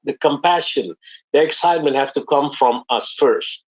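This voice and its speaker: A man from Indonesia explains his business methods in an earnest, plain way, pausing on fillers as he goes. His voice sits at a middling pitch, and the webinar audio is a little dull and muffled. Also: husky. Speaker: network marketing presenter.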